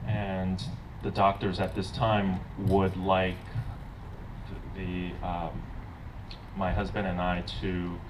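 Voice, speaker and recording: A man's voice: deep voice, completely flat delivery, slow pace. A young man interpreting his mother's wedding speech into English, hesitant and searching for words. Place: outdoor garden wedding. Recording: distant mic, noisy outdoors.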